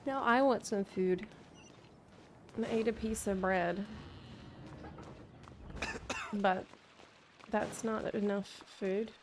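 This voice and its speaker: A woman's voice: medium pitch, steady tone, slow, pauses often, slight background noise. A woman live-streaming a game, chatting casually and reacting to what happens. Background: faint game music.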